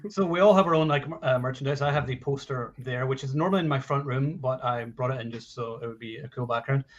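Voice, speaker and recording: A man's voice: mid-range voice, quick pace. A man in his forties hosting a casual film discussion, talking in a rambling, enthusiastic way over lo-fi livestream audio. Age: 40s. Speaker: host of a live film discussion show.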